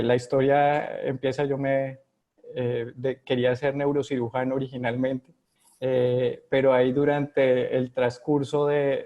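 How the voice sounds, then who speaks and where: A man's voice: mid-range voice, dull audio. A middle-aged man with a Colombian accent, answering interview questions from home with many hesitations and restarts. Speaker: guest epidemiologist.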